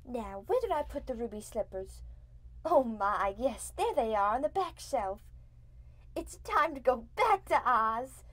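A woman's voice: a little high, big pitch swings, a little slow, fairly bright tone. A woman playing an innocent, anxious, sweet young girl in a family audio drama, earnest and full of worried exclamations. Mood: searching.

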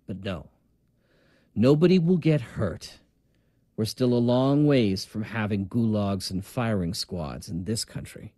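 An actor playing a calm, measured, quietly official older man in an audio drama. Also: on the low side, no room sound, clean studio sound. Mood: calm, reassuring.

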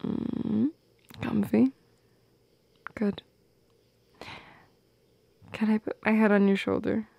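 Woman as shy teasing goth girl for ASMR roleplay. Breathy, whispery, creaky, unhurried. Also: medium pitch, little pitch movement, no echo, highs cut off, full character voice. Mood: delighted, romantic. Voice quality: soft and breathy, slipping into whispers. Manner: hesitant, teasing, halting with small laughs. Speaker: audio roleplay performer. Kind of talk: intimate ASMR roleplay acting. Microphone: close microphone in a dry space.

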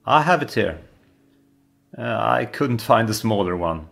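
A man playing a mild, obliging character in an adventure game, deliberate and understated. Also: lowish voice, lively intonation, fairly bright tone, exaggerated voice. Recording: clear background noise and clicks.

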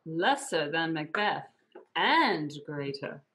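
A woman's voice: low voice, big pitch swings, takes it slowly, fairly bright tone, exaggerated voice. A middle-aged woman playing a vengeful witch, chanting verse in an amateur Shakespeare read-through on a video call. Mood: prophesying. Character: menacing, vengeful female witch. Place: room at home, indoors.

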